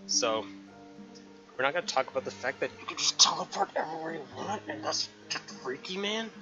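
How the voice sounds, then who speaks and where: A man's voice: a little high, animated, brisk, highs cut off. A man hosting a let's play, reading game dialogue and joking along, often stumbling and restarting. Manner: stumbles over read lines and restarts. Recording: game music behind voice. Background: video game music.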